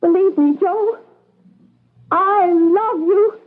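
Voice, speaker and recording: An actress playing a troubled, secretive young wife in a radio drama, speaking in a halting, guarded way. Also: female voice, extremely high pitch. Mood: pleading. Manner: halting, guarded, repeats herself. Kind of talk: old-time radio drama acting. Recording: dull, band-limited 1980s radio recording.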